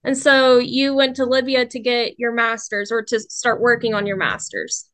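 A woman's voice: on the high side, normal speed, fairly bright tone, dry recording. Young woman interviewing, polite and careful. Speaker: interviewer, student. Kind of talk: scripted interview questions.

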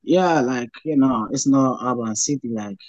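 A young man from Ghana with a Ghanaian accent, a graduate student answering interview questions over a video call, halting and full of fillers. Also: medium pitch, a little mellow, dry recording, highs cut off. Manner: halting, repeats words, many "like" fillers.

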